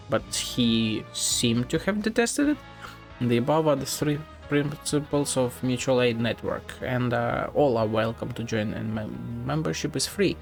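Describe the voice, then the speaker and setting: A man's voice: mid-range voice, vocal fry. A man giving casual, offhand game commentary and reading text aloud, muttering now and then. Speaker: video game streamer.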